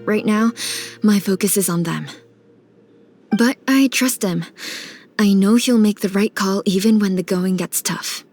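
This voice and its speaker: A woman's voice: mid-range voice, a little slow, pauses often, darkish tone, soft background music, natural voice. A woman voicing a proud, resolute mother tending the wounded in a video game, earnest and firm. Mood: steady, proud.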